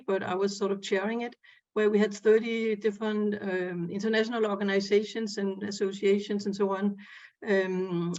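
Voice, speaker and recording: A woman's voice: mid-range voice, a little mellow. A woman from Denmark, speaking as a panelist about her career in groundwater work, with frequent ums. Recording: dull lo-fi sound.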